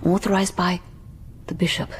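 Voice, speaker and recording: An actress playing a practical, inquisitive English clergyman's wife in a radio drama, brisk and sensible. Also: lowish voice, little pitch movement, slight background noise, close to an everyday voice. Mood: hushed, curious.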